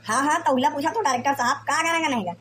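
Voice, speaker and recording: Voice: sits high, quick pace, noisy background, natural voice. A performer voicing brash, bickering cartoon film folk with a Chhattisgarhi accent and slangy village speech. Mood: exasperated. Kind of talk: comedy cartoon dialogue in colloquial village speech.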